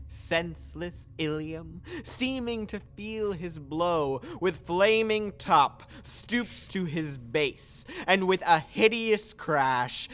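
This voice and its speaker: A man's voice: on the high side, fairly bright tone. A young man playing a travelling player who declaims tragic verse in a grandiose, solemn Shakespearean manner. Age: young adult.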